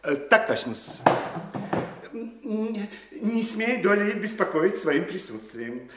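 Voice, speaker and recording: A stammering, fawning postmaster. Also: male voice, sits high, big pitch swings, slow, reverberant, very noisy, exaggerated voice. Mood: obsequious. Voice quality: hesitant, stammering, obsequious. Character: timid fawning provincial postmaster, man, middle-aged. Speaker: actor in a radio play. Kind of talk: stage radio play acting.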